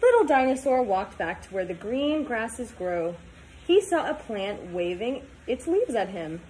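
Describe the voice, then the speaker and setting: Animated high-voiced young woman reading to children. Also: unhurried, slight background noise, low-quality recording. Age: 20s. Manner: animated, sing-song, simple child-directed phrasing with questions. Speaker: teacher reading aloud to pupils. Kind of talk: children's storybook read-aloud, talking to young kids.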